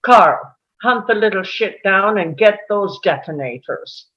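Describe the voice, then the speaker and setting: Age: adult. Role: a woman reading a suave male terrorist leader. Style: table read. Pace: slow. Pitch: swooping, lively. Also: dull audio, natural voice. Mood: calm, commanding.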